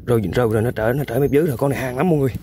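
Excited man narrating eel fishing outdoors. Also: medium pitch, very fast, background noise. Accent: Vietnamese. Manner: excited exclamations, talks to viewers while working. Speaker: eel-fishing channel host. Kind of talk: casual outdoor fishing vlog commentary. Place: outdoors by a riverbank.

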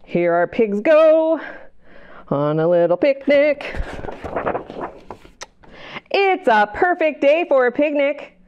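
A woman reads a picture book to young children in an expressive storytime style. Her fairly high voice swings widely up and down, and she takes her time, speaking slowly and exclaiming over the pictures. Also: highs cut off, slight background noise. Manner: expressive, exaggerated gasps and exclamations, questions to listeners. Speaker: children's librarian, storytime host. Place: indoor library children's room. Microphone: clip-on lapel microphone.

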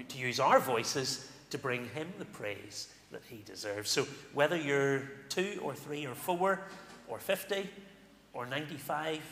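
A middle-aged man, speaking as a minister at a lectern in a large church, giving announcements and a call to worship with pauses and fillers. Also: mid-range voice, a little hiss or hum. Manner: warm pulpit delivery with pauses and fillers. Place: large church sanctuary, indoors. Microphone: stand microphone at a lectern. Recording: echoey church hall from a distance.

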